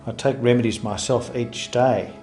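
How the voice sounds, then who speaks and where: A man's voice: medium pitch, fairly even intonation, moderate pace, darkish tone, soft background music. A man speaking as a practitioner, giving a first-person account of his work. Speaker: homeopathy practitioner. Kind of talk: first-person promotional testimony.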